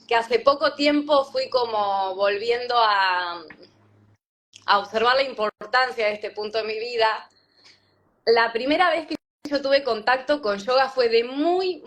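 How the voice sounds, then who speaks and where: A woman in her thirties with an Argentine Spanish accent, from Patagonia, telling her story as a guest in an interview, thoughtful and pausing often with fillers. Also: fairly high pitch, a bit bright. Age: 30s. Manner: thoughtful, many fillers and restarts. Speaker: yoga teacher, guest. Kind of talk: reflective interview talk.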